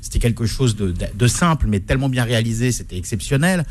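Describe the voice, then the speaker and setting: A man's voice: medium pitch, lively intonation, fast, slight background noise. A man co-hosting a food radio show as critic, repeating words and stammering while he thinks.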